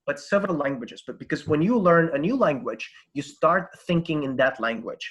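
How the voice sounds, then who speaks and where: A man's voice: fairly high pitch, lively intonation, moderate pace. A middle-aged man speaking as a podcast guest and teacher, explaining in an emphatic, conversational way with repeated phrases.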